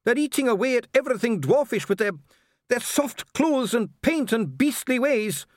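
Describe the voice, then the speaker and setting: A man voicing a zealous dwarf who rants in a shrill, strained voice close to sobbing, in a storybook reading. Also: lively intonation, moderate pace, no echo. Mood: ranting.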